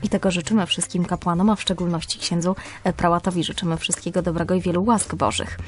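A woman's voice: lowish voice, steady tone, quick pace, faint music behind. Middle-aged woman hosting radio interview. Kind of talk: morning interview questions. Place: small radio studio, indoors. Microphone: studio microphone on boom arm, headset worn.

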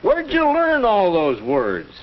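Middle-aged American dad, flustered and plain-spoken, slow swooping delivery, old educational film. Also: male voice, high-pitched, huge pitch swings, full character voice. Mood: impressed, hesitant. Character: flustered, plain-spoken family man puzzling over words. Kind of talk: 1940s educational film acting. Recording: muffled 1940s film soundtrack.

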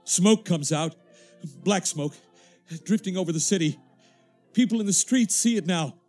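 An actor playing an urgent, stammering radio reporter watching an invasion, in 1930s radio drama style. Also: male voice, high-pitched, unhurried, darkish tone, soft background music. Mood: grim urgency. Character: panicked male radio reporter witnessing an invasion.

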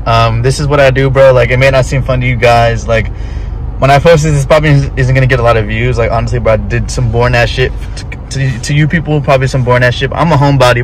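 A young man in his twenties vlogs from the driver's seat, phone at arm's length. He talks fast and loose, peppering everything with bro and offhand swearing. A faint hum of the car and outside traffic sits under his voice. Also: medium pitch, low-quality recording. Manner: laid-back slangy, says bro a lot, swears casually. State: tired, feeling off. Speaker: vlogger. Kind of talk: casual vlog talk to camera. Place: inside a parked car. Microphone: phone held at arm's length.

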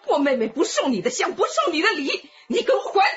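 An actress playing a furious, accusing sister of a murdered woman in a radio drama, shrill and scolding. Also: huge pitch swings, a little fast, lo-fi sound, a little hiss or hum, heavily acted.

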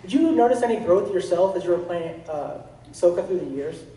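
Mellow-voiced man asking from the audience. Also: high-pitched, expressive, a little fast, slight background noise. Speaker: audience member asking a question. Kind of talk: audience Q&A question. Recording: echoey hall from far off.

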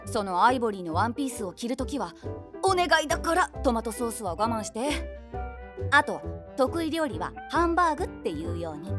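女性が演じる表情豊かで皮肉っぽい中年女性の語り. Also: female voice, mid-range voice, natural voice. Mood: satisfied, teasing. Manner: deadpan, teasing asides. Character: nosy, wry 46-year-old eavesdropping woman with wild imagination. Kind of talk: scripted comedic inner-monologue narration. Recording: music behind the voice.

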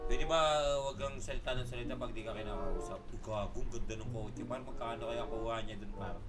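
A man's voice: fairly low pitch, brisk. Brash young Filipino man streaming over music. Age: young adult. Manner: brash, taunting, swearing. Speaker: livestreamer playing a roleplay game. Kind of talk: casual in-game banter and trash talk. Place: small room at home, indoors.

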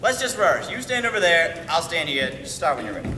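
A man's voice: a little high, big pitch swings, brisk, very noisy. A man voicing an eager, bossy actor who explains a play scene in theatrical bursts. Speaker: actor rehearsing the lead. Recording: echoey hall from far off.

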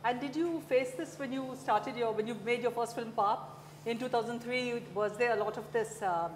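A woman's voice: a little high, moderate pace. A middle-aged woman with an Indian accent, hosting a conversational interview. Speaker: interview host. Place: medium-sized indoor café-like room. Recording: echoey room with faint background noise.

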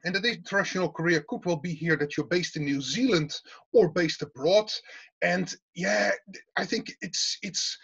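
Middle-aged Dutch man, lecturer type, slightly higher voice, chatty and full of restarts, muffled call audio. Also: a bit bright, breathy edge. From Netherlands. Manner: informal, repeats words and restarts often. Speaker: university teaching fellow. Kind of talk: casual interview answers. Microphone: video call. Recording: dull lo-fi video call audio.

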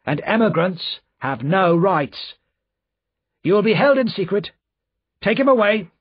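Guy reading as a cold stern official in a storybook, barking orders, pitched up, dull recording. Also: sing-song, a little slow, slightly rough, heavily acted. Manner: sharp, commanding.

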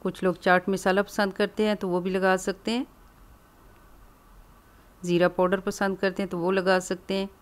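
A woman from Pakistan hosting a cooking channel, calmly explaining each step of a recipe in a voice-over. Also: medium pitch, normal speed, long pauses. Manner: calm, instructive, explains each step plainly.

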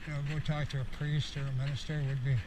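Higher-pitched sixty-year-old man, noisy outdoor recording. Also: flat delivery, fast. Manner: candid, meandering, self-reflective. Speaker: vlogger. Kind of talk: rambling reflective monologue. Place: outdoors, cycling on a rural road. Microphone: action camera on the bike or rider. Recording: outdoor wind and road noise.

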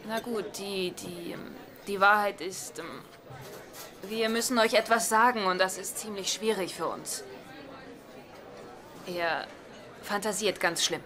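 An actress playing an anxious, sarcastic wife with a nervy, emphatic delivery in a dubbed sitcom. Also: female voice, medium pitch, unhurried, pauses often, a crowd in the background, lightly acted. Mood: awkward. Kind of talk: German-dubbed sitcom acting.

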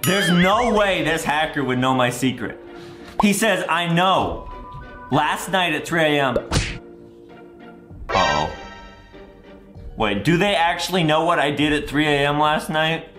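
A man's voice: on the high side, expressive, measured pace, long pauses, roomy sound. A young American man giving exaggerated, childlike gameplay commentary for kids, dramatic and repeating himself. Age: young adult. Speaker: gaming video host. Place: indoor recording room. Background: music.

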